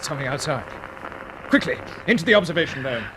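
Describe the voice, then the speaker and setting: Decisive rocket-ship commander for vintage radio drama. Clipped, authoritative, fairly high voice, noisy recording. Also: male voice, sing-song, fast. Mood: tense. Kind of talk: 1950s British radio drama acting.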